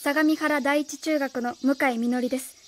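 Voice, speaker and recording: A woman's voice: high voice, steady tone, moderate pace, slight background noise, lightly acted. An actress playing an earnest young female English teacher in a radio drama, speaking politely and formally. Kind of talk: Japanese radio drama acting, dialogue and inner monologue.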